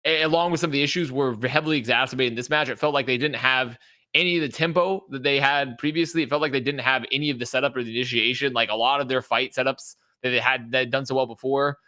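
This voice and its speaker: Bright-voiced man chatting about esports. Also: medium pitch, steady tone, quick pace. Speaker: esports podcast panelist. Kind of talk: casual match analysis.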